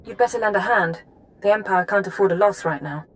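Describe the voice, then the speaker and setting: A woman playing a brisk, composed intelligence analyst in a video game, speaking precisely and formally. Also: medium pitch, natural voice. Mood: composed, serious.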